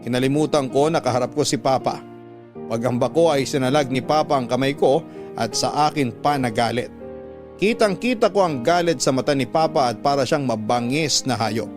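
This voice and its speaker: A man's voice: mid-range voice, moderate pace, faint music behind. A man narrating a first-person confessional story in the dramatic style of a Filipino radio drama.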